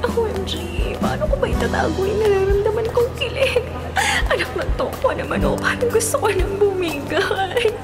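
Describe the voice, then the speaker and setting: A woman's voice: very high-pitched, normal speed, loud music behind the voice. An actress with a Filipino accent playing a guarded, bitter young woman who is secretly smitten, in a dramatic radio drama style. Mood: secretly giddy.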